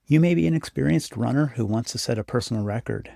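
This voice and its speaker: A man narrating a scripted guided meditation as the guide, speaking in an unhurried, encouraging, instructive way. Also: mid-range voice, vocal fry, warm tone, dry recording, clean studio sound. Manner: unhurried, instructive, encouraging second-person address.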